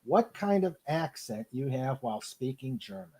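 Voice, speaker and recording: A man from Ohio with an American accent, hosting a casual live chat and often halting as he searches for words. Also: mid-range voice, sing-song, mellow, slight background noise. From Cleveland, Ohio, United States. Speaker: podcast host. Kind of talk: casual live chat, reading out listener comments.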